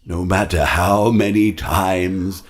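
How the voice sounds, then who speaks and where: A man voicing a possessive, theatrical animatronic showman in a horror game, with a husky voice and deliberate delivery. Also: low-pitched, fairly even intonation. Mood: grim resolve. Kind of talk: horror game character lines.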